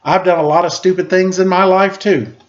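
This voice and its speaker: A man's voice: high-pitched, steady tone, brisk, pitched above the performer's own voice, close to an everyday voice. A man voicing a glum, resigned young boy who talks in a weary, downbeat way. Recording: slightly dull lo-fi recording.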